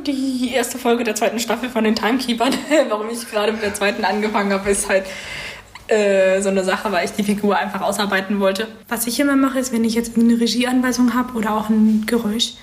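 A woman in her twenties from Berlin, explaining things informally in a casual interview with hesitant pauses. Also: mid-range voice, flat delivery. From Berlin, Germany. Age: 20s. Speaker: interviewee, creative writing student and audio drama writer. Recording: roomy, echoing interview recording.

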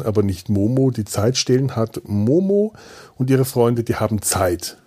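A man talks casually to podcast listeners in a mellow, rather raspy voice at a middling pitch. He rambles, breaks off and repeats words as he searches for the next thought. His delivery carries a wry, self-mocking humor. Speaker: podcast host.

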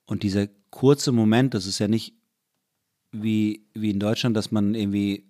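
Man from Germany, casual rambling talker, somewhat low pitch. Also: fairly even intonation, moderate pace, mellow. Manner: rambling, many restarts and fillers. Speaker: conversation partner. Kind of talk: casual chat.

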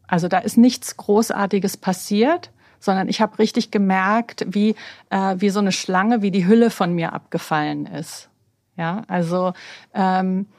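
Relaxed woman with gravelly voice. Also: mid-range voice, no room sound, clean studio sound. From Berlin, Germany. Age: 50s. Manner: easygoing, laughs while talking, many fillers. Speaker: interview guest, personal health coach. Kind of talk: relaxed conversational interview.

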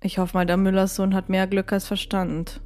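A woman's voice: mid-range voice, flat delivery, normal speed, darkish tone. A woman co-hosting a podcast, delivering scripted introductions and audio play dialogue. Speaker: podcast co-host.

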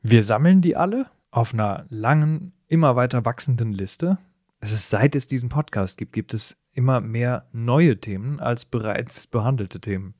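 A man's voice: medium pitch, moderate pace, a little mellow, no echo, clean studio sound. A man co-hosting a podcast, telling stories in a casual, reflective and explanatory way with colloquial asides. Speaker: podcast co-host. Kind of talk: casual conversational podcast storytelling.

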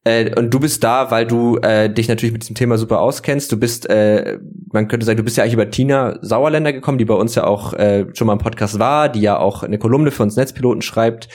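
A man's voice: medium pitch, steady tone, fast talker, a little mellow. A man from Germany, hosting a podcast in an enthusiastic, chatty way with lots of fillers. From northern Germany. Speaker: podcast host. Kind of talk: casual podcast chat.

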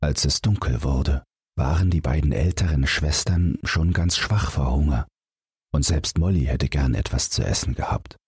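A man's voice: rumbling low, normal speed, slightly rough, no room sound, clean studio sound. A man narrating a bedtime fairy tale as a scripted audiobook.

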